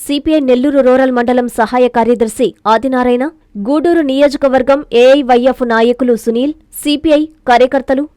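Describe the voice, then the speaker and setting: A woman's voice: high-pitched, steady tone, a little fast, mellow, smooth, no echo, studio quality. A woman reading scripted news narration as a news reader.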